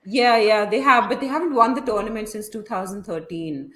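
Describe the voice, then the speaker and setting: A woman's voice: medium pitch, a little fast, sharp tone, reverberant. A woman casually commentating and analysing a live football match, chatty and opinionated, feeling her way through her thoughts. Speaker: host of a football watchalong.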